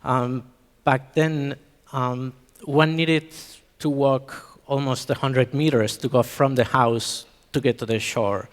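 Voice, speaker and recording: A man's voice: medium pitch, steady tone, takes it slowly. A man from Mexico giving a conference talk on a stage microphone, hesitant with many ums and restarts. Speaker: conference speaker. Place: conference hall. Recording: reverberant hall.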